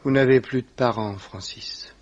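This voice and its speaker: Earnest young gentleman played by a man, muffled sound. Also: medium pitch, moderate pace, natural voice. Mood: grave, consoling. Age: young adult. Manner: formal, earnest. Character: rich, loyal, earnest young heir consoling his friend. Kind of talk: 1950s French radio drama acting. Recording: dull old radio recording.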